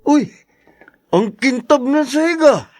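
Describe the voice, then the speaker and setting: An actor playing a grumpy, scheming, bossy son-in-law in a radio drama, with a surly, demanding manner. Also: male voice, extremely high pitch, animated, slow pace, a little mellow, dry recording, soft background music, exaggerated voice.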